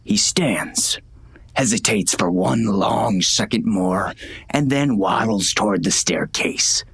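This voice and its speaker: Stern, low-voiced western gang boss. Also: male voice, takes it slowly, gravelly, fairly bright tone, husky, full character voice. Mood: cold, menacing. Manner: stern, clipped, menacing. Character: hard, commanding male western gang boss, also narrating. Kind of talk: first-person western audiobook narration and dialogue.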